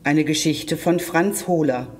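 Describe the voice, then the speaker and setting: A storyteller reading a children's tale aloud expressively and deliberately, addressing young listeners directly. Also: mid-range voice, breathy edge.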